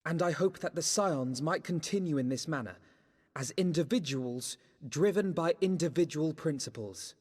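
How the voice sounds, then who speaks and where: An actor playing an earnest, eloquent young nobleman in a game, with a formal, measured British delivery. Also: fairly high pitch, darkish tone, close to an everyday voice. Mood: earnest, resolute. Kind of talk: formal scripted game dialogue. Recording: long hall reverb.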